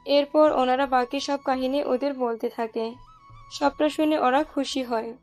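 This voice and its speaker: Female storyteller for romantic audio fiction, high voice, light music bed. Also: steady tone, unhurried, a little mellow. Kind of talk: scripted romantic story narration.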